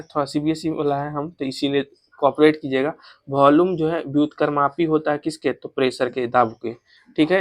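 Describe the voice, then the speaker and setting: A man's voice: on the high side, no echo. A man with a Bihari accent giving an informal exam revision lesson, drilling facts by repeating questions and answers and mixing in English technical terms. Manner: repetitive, drilling facts with self-posed questions. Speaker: exam coaching teacher.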